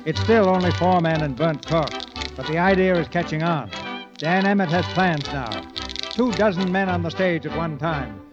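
A man narrates a 1940s radio drama in a slightly high voice. Loud music plays behind him, and the vintage recording is muffled. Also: moderate pace.

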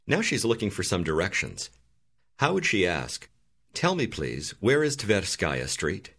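A deep-voiced man narrates a language lesson, giving calm and clear prompts. His low voice still moves up and down expressively as he guides each exercise. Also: unhurried. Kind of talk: scripted instructional narration.

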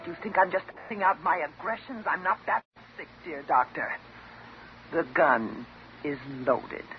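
An actress playing a bitter, vengeful jilted patient with a mocking, sarcastic and dramatic manner in a 1950s radio drama. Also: female voice, on the low side, lively intonation, moderate pace, a little hiss or hum. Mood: cold, bitter. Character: bitter, vengeful jilted woman patient holding doctor at gunpoint. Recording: dull old 1950s radio recording.

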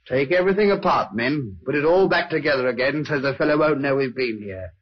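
A man's voice: medium pitch, lively intonation, brisk, husky. An actor playing a dutiful, eager police sergeant in a 1950s radio drama, a man's voice. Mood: businesslike. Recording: dull old radio recording.

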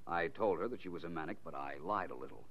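An actor in a 1940s radio drama playing a shrewd, unflappable private detective, urbane and wry. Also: male voice, deep voice, animated, fast talker. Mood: explaining, confident. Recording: muffled vintage 1940s radio recording.

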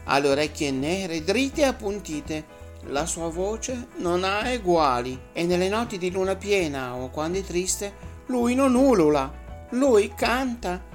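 A woman voicing an anxious, doting mother fox who pleads in a high, loud voice. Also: big pitch swings, unhurried, soft background music.

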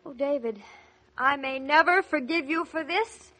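A very bright, high-pitched young newlywed wife in a 1940s radio drama. She is coy and artless, wheedling her way along and stubbornly sure she is right. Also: measured pace, a little hiss or hum, low-quality recording. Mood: defensive, indignant.

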